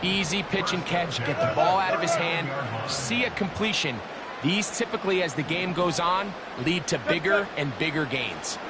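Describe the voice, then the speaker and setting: A man's voice: sits high, normal speed, heavy background noise, natural voice. A man with an American accent giving explanatory, analytical color commentary on American football.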